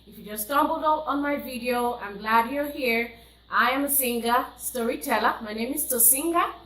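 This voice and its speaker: A woman telling folktales to viewers in a deliberate way, repeating phrases for emphasis. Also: on the high side, fairly bright tone, echoey room, rough recording. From Nigeria. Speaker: storyteller and singer hosting a folktale channel. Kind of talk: oral folktale storytelling to viewers.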